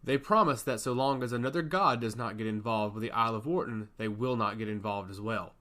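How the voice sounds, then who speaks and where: A man's voice: medium pitch, a little fast, pushed and bright, natural voice. A man voicing a dutiful, self-assured servant of the gods in a fantasy story, earnest and deferential. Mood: resolute. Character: dutiful, self-assured male mortal turned divine servant. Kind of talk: fantasy audiobook character acting.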